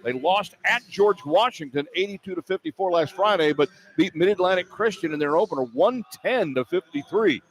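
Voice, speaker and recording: A man's voice: high-pitched, lively intonation, brisk, hardly any pauses. An American man doing live play-by-play broadcast commentary for radio as an announcer. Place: indoor sports arena. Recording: noisy live arena broadcast. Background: arena ambience and noise.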